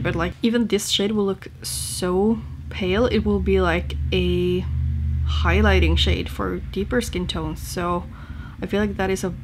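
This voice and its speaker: A woman's voice: mid-range voice, slight background noise. A young woman from Norway with a Norwegian accent, chatting casually and informally to camera as a beauty vlogger. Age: young adult. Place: small home room indoors.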